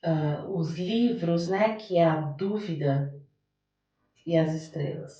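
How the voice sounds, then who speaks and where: A woman's voice: on the low side, slow, warm tone, highs cut off. A Brazilian woman explaining things as a card reader and interview guest, repeating phrases for emphasis. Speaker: podcast guest, oracle card reader and holistic therapist. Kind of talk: card reading and interview answers. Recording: echoey room with music behind.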